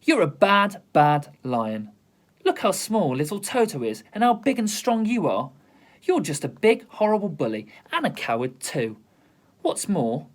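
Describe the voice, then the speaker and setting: A man reading aloud and voicing a protective young girl who scolds loudly, in a storybook reading. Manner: scolding, high and loud.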